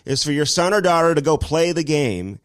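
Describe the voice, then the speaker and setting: A man's voice: on the high side, lively intonation, brisk, clear, resonant tone, dry recording, studio quality. A man co-hosting a podcast, rambling through ad reads and casual chat with lots of fillers, sounding a little nasal from a cold. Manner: rambling, filler-heavy delivery. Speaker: podcast co-host.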